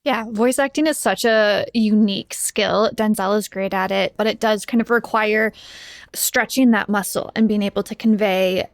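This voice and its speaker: A woman's voice: medium pitch, steady tone, moderate pace, no echo. American woman, casual talk, very dry sound. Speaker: podcast guest, audio drama producer. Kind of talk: casual conversation.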